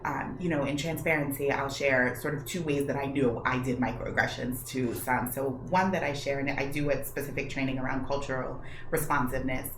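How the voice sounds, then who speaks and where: An American woman talking conversationally as a guest and trainer, telling stories in an animated, emphatic way and acting out what people said. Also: very deep voice, fairly quick, few pauses. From United States. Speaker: radio show guest, cultural responsiveness trainer. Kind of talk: conversational storytelling and discussion. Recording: roomy echo, loud background noise.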